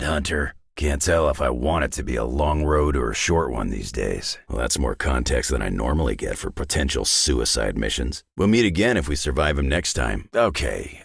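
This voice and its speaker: An actor playing a gruff, battle-worn older male mutant warrior in a video game, with a raspy, gravelly voice and dry, sardonic, clipped delivery. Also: a bass voice, no echo, full character voice. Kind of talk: video game character voice lines.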